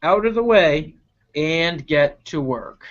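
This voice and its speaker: Children's read-aloud narrator, mid-pitched and highly expressive, unhurried delivery, dull recording. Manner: animated, deliberate, stretching key action words, with asides to listeners. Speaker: reader reading a picture book to children.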